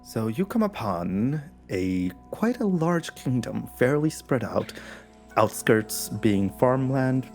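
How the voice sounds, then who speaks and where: A man narrating a tabletop game as game master, halting and full of fillers. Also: medium pitch, big pitch swings, a little mellow. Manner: slow, halting, with many fillers. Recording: music bed under the voice.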